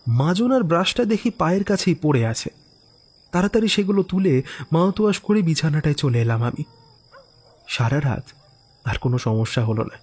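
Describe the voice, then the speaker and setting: A man narrating a first-person horror story in an expressive, theatrical way, with musing asides and exclamations. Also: on the high side, normal speed, long pauses, husky. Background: background music.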